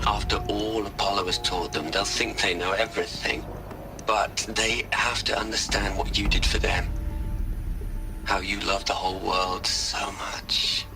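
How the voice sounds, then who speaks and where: An actor playing a tender, grieving scientist who records a halting eulogy in a dramatic video game. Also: male voice, deep voice, rough recording. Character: grieving male scientist, tender, hesitant, reverent. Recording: music behind the voice.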